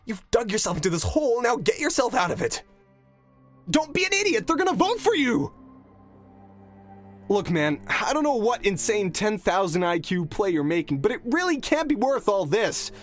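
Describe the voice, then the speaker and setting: A woman's voice: medium pitch, sing-song, normal speed, soft background music. A woman playing a hot-headed, loyal young student in an audio drama, blunt, exasperated and pleading. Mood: frustrated pleading.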